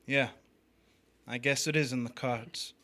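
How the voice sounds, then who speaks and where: A man playing a hesitant, smitten drifter in a live radio-style drama, with halting, uncertain delivery. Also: mid-range voice, fairly even intonation, very noisy, natural voice. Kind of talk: live stage radio-play acting.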